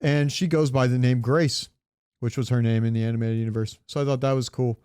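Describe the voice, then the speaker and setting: A man's voice: medium pitch, fairly even intonation, a little fast, darkish tone, clear, resonant tone, dry recording, clean studio sound. A man co-hosting a podcast in casual pop-culture chat, rambling and searching for words, with casual swearing. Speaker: podcast co-host.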